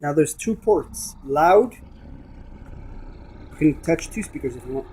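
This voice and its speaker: A man's voice: a little high, lively intonation, measured pace, a little mellow, slightly rough. A man giving a casual, emphatic and repetitive hands-on gadget demonstration. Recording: noisy room with device static. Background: radio scanning static.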